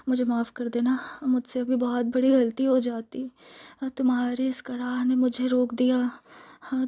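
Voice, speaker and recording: A woman acts out a possessive, scheming vampire girlfriend in a romantic ASMR role-play. Her Indian-accented voice is breathy and soft, often dropping into a whisper. She speaks slowly and keeps the melody very even, tenderly coaxing and lingering on repeated phrases. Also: sits high. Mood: apologetic, hungry. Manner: intimate, coaxing, lingering repetitions.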